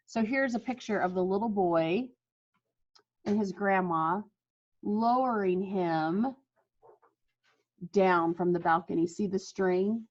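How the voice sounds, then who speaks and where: A woman teacher reading a storybook aloud to children, expressive and encouraging, over a dull video call. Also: mid-range voice. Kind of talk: storybook read-aloud with chat to pupils. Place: online video class.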